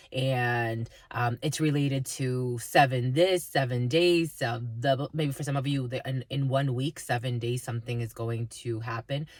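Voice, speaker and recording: A woman whose first language is Spanish, giving a halting intuitive spiritual reading to viewers, with many fillers and repeated phrases. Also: very deep voice, dry recording. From Dominican family, Spanish first language. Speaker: spiritual reader.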